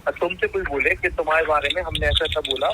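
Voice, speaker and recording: A man's voice: on the high side, steady tone, fast, sharp tone, a little hiss or hum. A man in a family argument over a recorded phone call, explaining things repetitively in a placating way. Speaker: brother-in-law.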